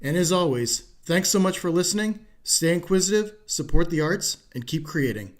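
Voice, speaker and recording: A man's voice: a little high, lively intonation, moderate pace. A man hosting an interview podcast in a courteous, articulate way. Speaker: podcast host. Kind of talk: interview hosting with scripted sign-off.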